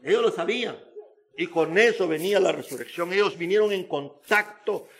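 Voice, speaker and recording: A man's voice: sits high, moderate pace, gravelly, a bit bright. A man preaching a sermon in an emphatic, repetitive manner, with rhetorical questions and phrases.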